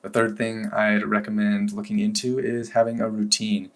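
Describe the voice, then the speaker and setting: A man talking casually to camera as a language-learning vlogger, relaxed and rambling, with frequent fillers and restarts. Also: lowish voice, moderate pace. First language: English. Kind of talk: casual review talk to camera.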